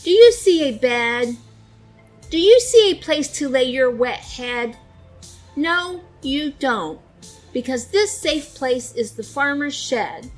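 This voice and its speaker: A woman's voice: high voice, expressive, slow, faint music behind, voice pushed up. A woman voicing a tiny, grumpy, reluctant male seed in a children's storybook, protesting emphatically in sing-song rhyme. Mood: indignant. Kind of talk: children's storybook read-aloud.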